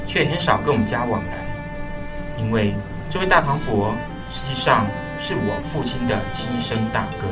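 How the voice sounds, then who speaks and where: A man reading scripted narration for a radio drama. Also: medium pitch. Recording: distant voice under loud music.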